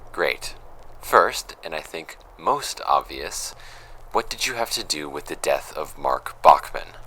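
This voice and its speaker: A man's voice: extremely low pitch, big pitch swings, slow pace, sharp tone, slight background noise, exaggerated voice, poor audio. A man playing a blunt, suspicious young investigator. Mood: accusing. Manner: blunt, pointed questioning. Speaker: interviewer. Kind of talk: audio drama acting.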